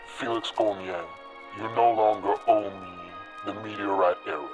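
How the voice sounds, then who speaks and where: A man voicing an ancient, imperious smoke spirit that strikes bargains in measured, formal, ominous phrases for a tabletop role-play story. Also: fairly low pitch, steady tone, lo-fi sound, music in the background, natural voice. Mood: solemn, businesslike. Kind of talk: dramatic role-play acting.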